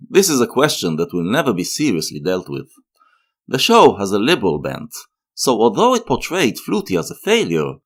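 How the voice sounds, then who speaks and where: Low-voiced man narrating, strikingly varied intonation. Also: a bit bright. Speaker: video essay narrator and critic. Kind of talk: scripted analytical narration.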